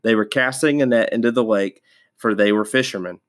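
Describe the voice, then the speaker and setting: A man's voice: on the low side, a little fast. A man hosting a devotional podcast, stuffed up from a sinus infection, talking casually with many fillers and restarts. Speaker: podcast host.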